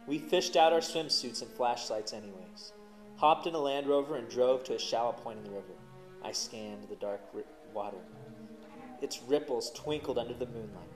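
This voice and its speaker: A man narrating a first-person story in an expressive, dramatic way as host of a story show. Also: medium pitch, poor audio. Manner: dramatic, animated on exciting parts.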